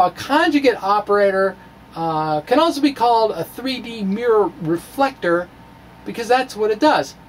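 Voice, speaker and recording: A middle-aged American man giving an informal physics lecture in a casual, halting way with thinking pauses. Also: sits high, vocal fry, a little hiss or hum. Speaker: physics video blogger. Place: small cluttered indoor room with bookshelves. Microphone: microphone on a stand in front of him.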